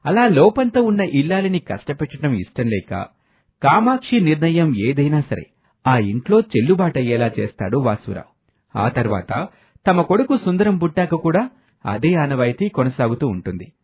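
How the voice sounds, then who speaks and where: Animated male storyteller, slightly husky. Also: on the high side, normal speed. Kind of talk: scripted story narration.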